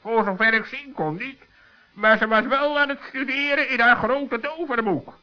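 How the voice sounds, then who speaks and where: A man's voice: very high voice, normal speed. A man voicing a shrewd, self-satisfied raven in a children's radio play, talking in a dry, matter-of-fact way. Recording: dull lo-fi old radio recording.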